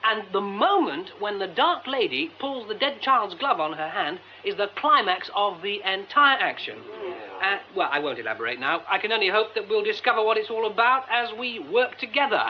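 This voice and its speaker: Earnest British theatre playwright, high-pitched, conciliatory, lively, on muffled radio drama audio. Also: male voice, moderate pace. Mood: earnest, buoyant. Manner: polite, hesitant, conciliatory. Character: courteous, diplomatic male playwright-director, earnest. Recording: muffled radio drama recording, faint noise.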